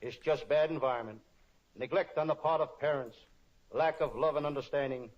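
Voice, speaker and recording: A man's voice: on the high side, fairly quick, lightly acted. A man playing a wisecracking, kind-hearted private detective in an old-time radio spoof, both narrating and in dialogue. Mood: sincere, reassuring. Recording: dull lo-fi old radio recording.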